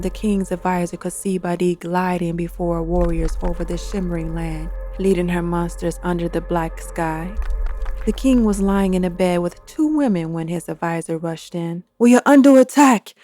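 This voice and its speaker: A woman, the author, narrating a dark fantasy story in a steady, expressive reading style. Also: lowish voice.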